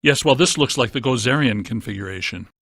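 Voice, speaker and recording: A man playing a brainy, deadpan scientist ghost hunter in a video game, with precise technical wording. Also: medium pitch, brisk, gravelly, no echo, clean studio sound. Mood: deadpan, analytical. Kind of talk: scripted video game voice acting.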